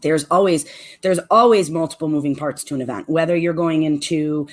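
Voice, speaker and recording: Middle-aged woman, very low voice, articulate, measured, restaurant owner on a radio interview. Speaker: restaurant owner and event planner.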